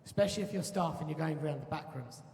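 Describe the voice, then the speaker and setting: Man host for a convention panel, somewhat high voice, chatty, laughing a lot, echoey hall. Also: fast talker, darkish tone. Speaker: panel moderator. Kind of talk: casual panel Q and A.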